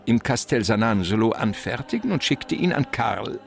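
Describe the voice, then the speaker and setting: An actor playing an effusive, wily gentleman mastermind in a German crime radio play, lecturing in florid, theatrical phrases. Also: fairly low pitch, big pitch swings, normal speed, vocal fry. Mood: savoring, conspiratorial.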